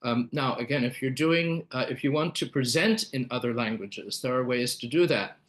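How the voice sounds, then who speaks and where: A man's voice: medium pitch, normal speed, fairly bright tone. Man presenting a webinar, explanatory delivery with fillers and self-corrections. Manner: explanatory, many fillers and restarts. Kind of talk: live webinar talk.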